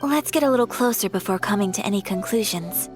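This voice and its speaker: A woman's voice: mid-range voice, expressive, fast, loud music behind the voice, natural voice. A woman voicing a graceful, polite young noblewoman in a game, speaking formally and courteously. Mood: gentle.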